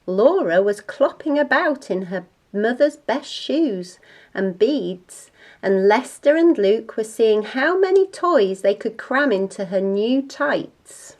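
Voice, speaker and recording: A woman reading a children's bedtime storybook aloud in a deliberate, expressive way. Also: on the high side.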